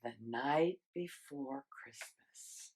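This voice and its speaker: An older woman reading a holiday poem aloud as a storyteller, theatrical and expressive, with dramatic pauses. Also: very deep voice, slight background noise.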